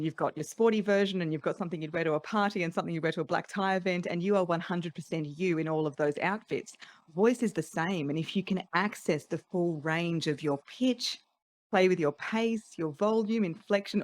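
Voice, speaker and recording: A woman's voice: on the low side, no echo. A middle-aged woman with an Australian accent, a voice coach speaking articulately and laughing lightly between points in a podcast interview. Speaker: voice coach guest. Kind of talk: casual interview chat.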